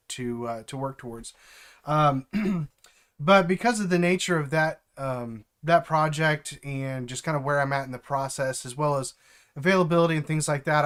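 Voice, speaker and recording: A man's voice: on the high side, smooth. Congested middle-aged man on a live stream. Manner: halting, with many fillers and restarts. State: stuffed up from allergies. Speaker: streamer and developer mentor. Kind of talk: casual live-stream chat.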